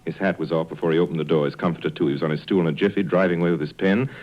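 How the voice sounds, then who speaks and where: A man's voice: low-pitched, steady tone, racing. A man narrating a radio drama as a scripted, dramatic storyteller. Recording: slightly dull recording under music.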